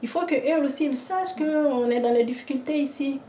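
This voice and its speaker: A woman from Mali telling her story of exile, hesitant with many pauses. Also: high-pitched, warm tone. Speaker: interviewee, project founder. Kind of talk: personal testimony. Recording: roomy with faint noise.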